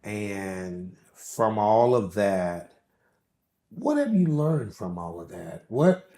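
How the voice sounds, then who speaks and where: A man's voice: lowish voice, big pitch swings, takes it slowly, dark tone. A man, a pastor, conducting a conversational church interview, putting questions in short phrases and echoing what his guest says. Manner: echoes and repeats the guest's words.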